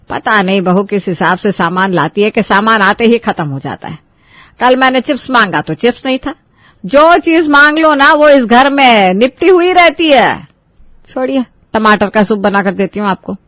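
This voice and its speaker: A woman's voice: mid-range voice, animated, moderate pace, a bit bright, no room sound, natural voice. A woman playing a bossy, complaining, food-loving middle-aged mother-in-law in a story. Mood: grumbling.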